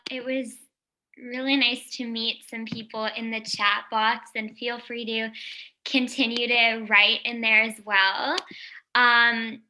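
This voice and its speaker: A woman's voice: a little high, pushed and bright, rough recording. A young woman hosting a live stream fundraiser, upbeat and welcoming, speaking straight to the viewers. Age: young adult. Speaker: host, founder of youth nonprofit.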